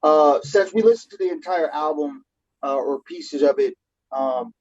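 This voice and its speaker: A man co-hosting a podcast, asking a question haltingly with many filler sounds. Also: on the high side, darkish tone, no room sound. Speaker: podcast co-host. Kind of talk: casual podcast conversation. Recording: dry, dull lo-fi sound.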